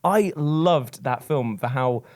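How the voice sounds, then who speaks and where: A man's voice: medium pitch, expressive, slow pace. A man talking casually and emphatically as a podcast panelist discussing films and games, often repeating words to press his point. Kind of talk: casual film and game discussion.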